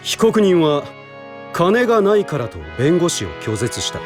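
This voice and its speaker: A man's voice: a little high, very animated, over music. A man playing an authoritative prosecutor in an audio drama, speaking formally with slyly knowing composure. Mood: lofty, approving. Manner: formal, measured, lofty. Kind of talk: Japanese audio drama acting.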